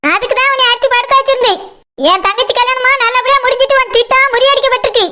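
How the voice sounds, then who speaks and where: Squeaky, brusque Kanyakumari cartoon villager. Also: female voice, fairly even intonation, racing, noisy background. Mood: angry, defiant. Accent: Kanyakumari Tamil. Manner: snappy, dismissive, argumentative. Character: brusque, stubborn village woman, fiercely protective older sister. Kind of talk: animated village comedy dialogue.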